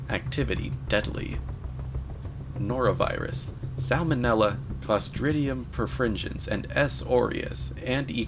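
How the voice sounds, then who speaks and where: A man delivering scripted commentary in a deadpan, mock-serious manner. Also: on the low side, noisy background. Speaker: narrator, video commentator. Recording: voice-over with music underneath. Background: music.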